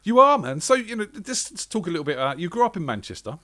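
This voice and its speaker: Lively-voiced male show host. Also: high voice, quick pace. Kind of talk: casual interview chat.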